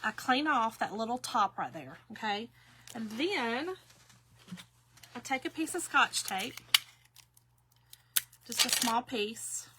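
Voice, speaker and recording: A woman's voice: fairly high pitch, expressive, takes it slowly, long pauses, background noise. A woman in her fifties giving a chatty, folksy crafting tutorial, often talking to viewers as y'all. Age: 50s. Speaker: craft demonstrator.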